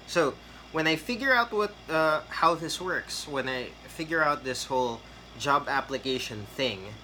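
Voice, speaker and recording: A man's voice: mid-range voice, lively intonation, unhurried, sharp tone, a little hiss or hum, poor audio. A man, giving a casual, rambling tutorial on getting hired in a call center. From Philippines. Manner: chatty, rambling with fillers and restarts. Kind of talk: casual tutorial talk to camera.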